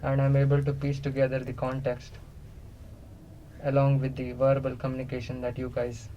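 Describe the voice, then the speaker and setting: Man playing a flat, halting otherworldly being. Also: mid-range voice, highs cut off, slight background noise, close to an everyday voice. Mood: solemn, matter-of-fact. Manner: halting, deliberate phrasing in short pieces. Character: captive all-knowing non-human being, curious, literal, childlike learner. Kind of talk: semi-improvised radio play dialogue.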